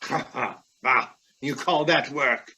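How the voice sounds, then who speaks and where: A man's voice: medium pitch, slow pace, sharp tone. An actor playing a cantankerous, frightened old man in a radio drama, blustering and scolding as he cries out. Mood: grumpy, scornful. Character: cantankerous old man trapped in a flooding mine, terrified of dying. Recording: very noisy track with clinks and clatter.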